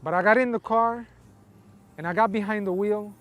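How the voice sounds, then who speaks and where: A man's voice: high-pitched, normal speed, fairly bright tone. A man from Colombia, interviewed, telling his life story in a reflective, casual way, often using "like" as a filler. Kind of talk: personal testimony, casual storytelling.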